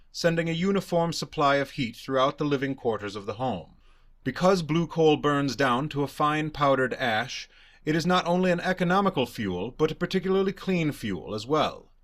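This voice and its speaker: A male announcer reads a scripted 1930s-style radio commercial. His voice is a bit higher than average. The delivery is formal and emphatic, like a salesman's pitch. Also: animated, moderate pace, a bit bright. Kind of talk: 1930s radio advertisement read.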